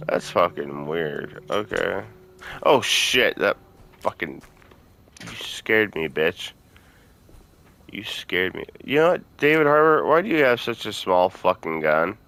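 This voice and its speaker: A man's voice: low-pitched, expressive, slow, vocal fry, a bit bright. A man giving casual let's-play commentary, talking informally to his viewers and swearing freely. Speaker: gaming video host.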